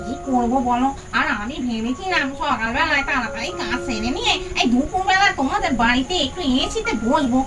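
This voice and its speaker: A woman's voice: sits high, animated, a little fast, few pauses. A woman voicing a harried, chatty village housewife and strict mother in a doll story, given to grumbling. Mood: complaining. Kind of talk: puppet doll story voice acting. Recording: distant mic with music behind.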